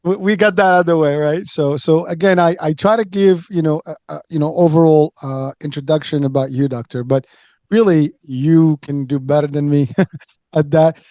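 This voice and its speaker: A man's voice: a little high, fairly even intonation, moderate pace, dark tone, no room sound. A man hosting a health radio show, introducing his guest in a loose, rambling way with many restarts. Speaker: host.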